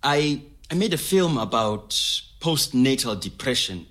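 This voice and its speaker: An actor playing an earnest, philosophical man, speaking haltingly and deliberately in an interview-style audio drama. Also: medium pitch, sing-song, a bit bright. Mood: reflective. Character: Zimbabwean man, earnest, philosophical, talkative filmmaker. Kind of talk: scripted oral-history audio drama told as interview.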